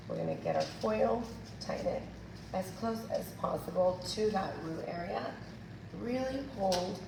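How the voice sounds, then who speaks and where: Husky low-voiced hair educator, echoey. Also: female voice. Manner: chatty, addresses viewers as "you guys". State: recovering from losing her voice. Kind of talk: hands-on tutorial demonstration. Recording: echoey room, distant mic, noisy.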